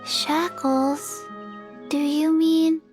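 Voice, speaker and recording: Very slow, shy little girl. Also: high-pitched, level tone, soft background music. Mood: timid, placid. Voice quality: small, soft childlike voice. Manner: halting, simple, subdued. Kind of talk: video game voice acting.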